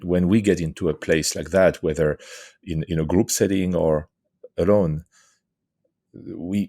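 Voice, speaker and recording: A middle-aged man from France with a French accent, answering interview questions thoughtfully with many pauses and restarts. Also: a bass voice, gravelly, darkish tone, no room sound, clean studio sound. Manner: hesitant, many fillers and restarts. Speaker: guest, author and leadership coach.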